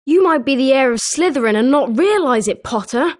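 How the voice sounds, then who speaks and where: A woman voicing an excitable young British schoolboy wizard in a video game, with animated scripted delivery. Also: sits high, a little fast. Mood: chatty. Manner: animated, expressive.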